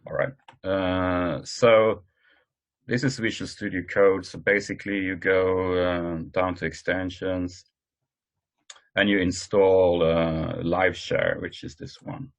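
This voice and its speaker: Low-voiced man, halting and hesitant. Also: level tone. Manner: hesitant, halting delivery with many pauses. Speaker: meeting host presenting tools. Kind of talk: informal work meeting presentation. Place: online conference call.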